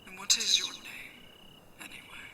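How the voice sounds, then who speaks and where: A woman playing a commanding, teasing mech pirate captain in a sci-fi ASMR roleplay, speaking in a hushed, imperious way. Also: mid-range voice, fairly even intonation, moderate pace, reverberant, recorded from a distance. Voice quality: hushed, breathy whisper. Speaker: voice actor in an audio roleplay.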